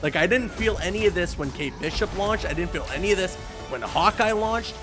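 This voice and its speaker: A man giving casual, excited reaction commentary as a video host, emphatic and given to repeating himself. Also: sits high, a little fast, music in the background. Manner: enthusiastic, emphatic, repeats phrases. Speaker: YouTube host reacting to a game showcase.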